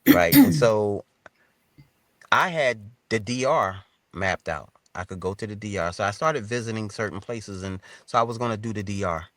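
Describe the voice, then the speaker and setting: A man's voice: fairly low pitch, animated, normal speed, fairly bright tone, no room sound. A man in his fifties with an American accent, telling his story casually as an interview guest in informal, colloquial phrasing. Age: 50s.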